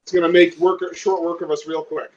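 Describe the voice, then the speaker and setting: High-pitched man on dull lo-fi audio. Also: flat delivery, fairly quick. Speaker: tabletop game player. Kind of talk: casual game planning chat. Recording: dull lo-fi voice recording.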